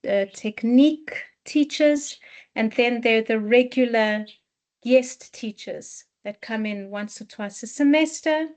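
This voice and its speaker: A woman who heads a dance program, giving a slow, measured presentation on a video call with many restarts. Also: on the high side, darkish tone. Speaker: head of program. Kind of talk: online info session presentation.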